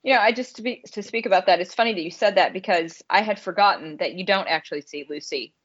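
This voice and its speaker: A woman's voice: medium pitch, fast talker. A woman co-hosting a podcast, giving her opinions in an informal, conversational way as she thinks aloud. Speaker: podcast co-host. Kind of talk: casual movie review chat. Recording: slightly dull, lo-fi audio.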